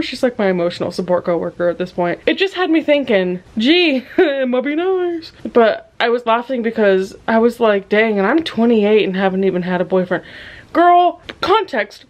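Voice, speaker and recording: A woman in her twenties, a vlogger chatting casually from bed, low-key and musing with frequent soft laughs. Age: 20s. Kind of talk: casual confessional chat. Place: lying in bed at home.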